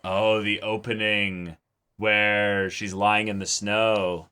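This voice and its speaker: Guy with a lowish, really bright punchy voice, hosting a casual anime reaction chat. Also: flat delivery, slow pace, clean tone, soft background music. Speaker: anime reaction show host.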